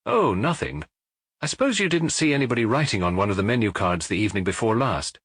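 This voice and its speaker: A man's voice: on the low side, lively intonation, fast, fairly bright tone, husky, dry recording, clean studio sound. A man playing a formal police inspector who questions witnesses politely but insistently, in an audiobook. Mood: official, insistent.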